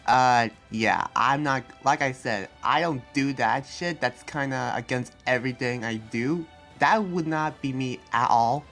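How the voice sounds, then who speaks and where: Music plays behind a man acting as an earnest demon slayer who guards his town. He explains himself in a halting, rambling way, with an average-pitched voice, as in an improvised video game roleplay. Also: a bit bright. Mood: defensive, earnest. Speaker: roleplay player. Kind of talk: improvised Minecraft anime roleplay dialogue. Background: background music.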